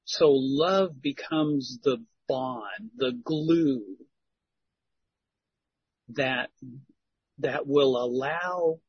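Brief: male study leader, husky and unhurried, earnest pastoral tone. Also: medium pitch, lo-fi sound. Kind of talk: church discussion, preaching.

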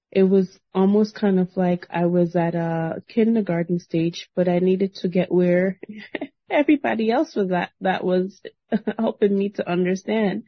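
A woman sharing a hesitant testimony at a Bible study, softly, with many pauses and ums. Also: medium pitch, no echo, lo-fi sound. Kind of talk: casual testimony.

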